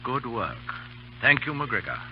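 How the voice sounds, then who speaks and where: An actor playing a commanding, formal army colonel with a clipped manner in an old radio drama. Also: male voice, mid-range voice, big pitch swings, a little slow. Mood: brisk, businesslike. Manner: clipped, authoritative. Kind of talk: 1930s-40s radio drama acting. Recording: dull old radio recording, hiss and hum.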